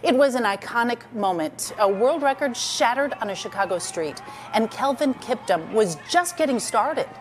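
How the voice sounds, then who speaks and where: A woman's voice: a little high, expressive, moderate pace, a little hiss or hum. A woman delivering scripted news narration as a reporter.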